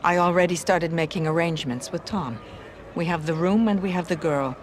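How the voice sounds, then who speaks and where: A woman's voice: on the low side, fairly quick, slight background noise, natural voice. Woman acting hard-nosed American brothel madam. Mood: matter-of-fact. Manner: blunt, bargaining, matter-of-fact. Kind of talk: video game cutscene acting.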